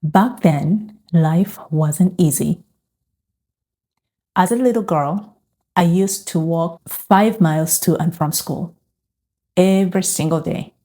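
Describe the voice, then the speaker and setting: A middle-aged woman tells her story in a calm, earnest way. Her voice is low and mellow. She speaks slowly and reflectively. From Uganda. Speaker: faith and lifestyle vlogger. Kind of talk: personal testimony to camera.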